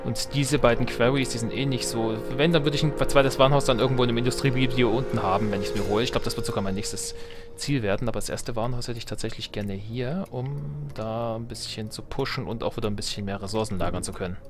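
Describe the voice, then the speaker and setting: A man's voice: medium pitch, steady tone, fast talker. A man giving casual gameplay commentary, thinking aloud with wry self-mockery. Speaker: let's play host. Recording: game music behind the voice. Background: video game music.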